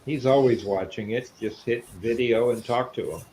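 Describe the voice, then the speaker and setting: A man's voice: mid-range voice, normal speed, a little mellow, slight background noise, rough recording. An older man chatting casually and reminiscing in a plain-spoken way. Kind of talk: casual chat.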